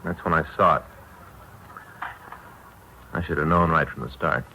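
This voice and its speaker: An actor playing a chatty, grumbling married American police detective in a naturalistic 1950s radio drama, rambling along in a folksy way. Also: male voice, very deep voice, a little slow, gravelly, pushed and bright, heavily acted. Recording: noisy old radio recording.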